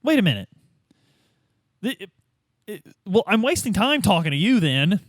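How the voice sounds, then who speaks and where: High-pitched, animated man playing a tabletop game. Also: normal speed, no room sound, studio quality. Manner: slangy, repetitive, interjecting. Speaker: tabletop roleplay player. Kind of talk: casual improvised in-character chat.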